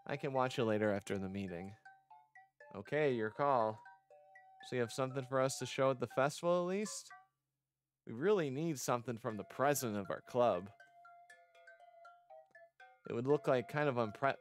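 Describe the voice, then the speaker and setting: A man with a mid-pitched voice reads visual novel dialogue aloud over music. He streams the game and acts out each line, sometimes tripping over the wording. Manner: acts out lines, stumbles over words. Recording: music behind the voice.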